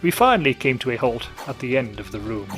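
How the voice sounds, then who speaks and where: A man's voice: medium pitch, sing-song, fast talker, fairly bright tone, soft background music. A man narrating a story with dramatic, playful spooky storytelling.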